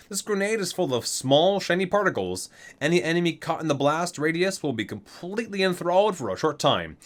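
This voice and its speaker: A man's voice: on the high side, a bit bright. A man doing an ASMR video, reading item entries aloud item by item and adding enthusiastic casual asides. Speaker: ASMR video creator, gamer.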